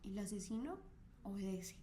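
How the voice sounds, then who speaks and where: A woman's voice: medium pitch, expressive, normal speed, mellow, slight background noise. A woman, recounting a crime case on a chat podcast with frequent fillers. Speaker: co-host.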